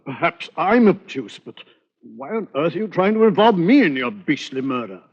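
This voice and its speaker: High-pitched blustering British doctor. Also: male voice, big pitch swings, normal speed, a little mellow, slightly rough. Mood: indignant, baffled. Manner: blustery, sputtering. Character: blustering, indignant, bewildered male English doctor. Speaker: radio drama actor. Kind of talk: 1940s radio thriller acting. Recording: dull vintage radio recording.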